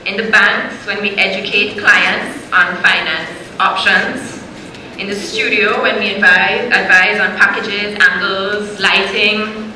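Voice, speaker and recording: A woman hosting an event, delivering a formal welcome address in a measured, rhetorical, ceremonial way. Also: mid-range voice, steady tone, bright, forceful tone. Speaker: event host. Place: conference hall. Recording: echoey hall, faint noise.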